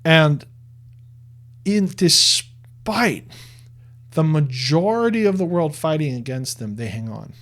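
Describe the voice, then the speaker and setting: A man's voice: on the high side, expressive, takes it slowly, long pauses, a bit bright. A man giving earnest religious commentary in a conversational tone, often restarting and repeating words as he makes his case.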